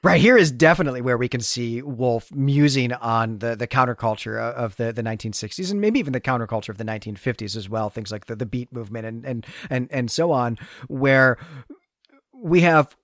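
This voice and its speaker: Halting man musing on books, dry sound. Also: medium pitch, a bit bright, no room sound. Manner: halting, often repeats words while musing. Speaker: podcast co-host. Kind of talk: conversational literary discussion.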